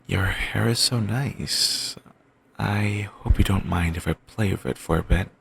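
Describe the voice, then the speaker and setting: A man playing a creaky, doting yandere boyfriend. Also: fairly low pitch, exaggerated voice. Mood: doting, soft. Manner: soft, murmuring, slow and rambling endearments. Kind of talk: ASMR audio roleplay.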